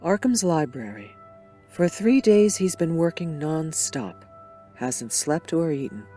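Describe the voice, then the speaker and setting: A man playing a concerned, serious doctor in a superhero fan dub, reporting in a measured, matter-of-fact way. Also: on the high side, big pitch swings, mellow, faint music behind.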